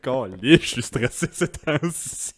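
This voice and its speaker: A man's voice: mid-range voice, lively intonation, slow pace. A man with a Quebec French accent hosting a podcast in loose, joking, casual chat. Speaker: podcast host. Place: podcast studio.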